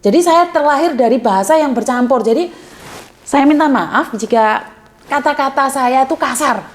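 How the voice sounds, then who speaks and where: A woman's voice: high voice, lively intonation, normal speed. A woman hosting a call-in advice chat, speaking bluntly and plainly, by her own account coarse and outspoken. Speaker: host of a call-in advice channel. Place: Hong Kong.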